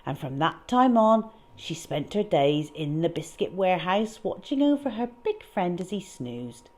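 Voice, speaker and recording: Lady doing storytime for kids, super lively pitch, dramatic and emphatic. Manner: expressive, emphatic, drawn-out key words. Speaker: children's storyteller. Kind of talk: dramatic read-aloud storytelling.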